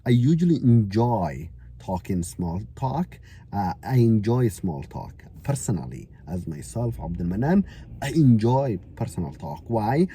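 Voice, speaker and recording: Mellow low-voiced male teacher. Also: big pitch swings, slight background noise. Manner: repeats example phrases slowly for learners. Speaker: English teacher. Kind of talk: casual spoken English lesson. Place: Canada.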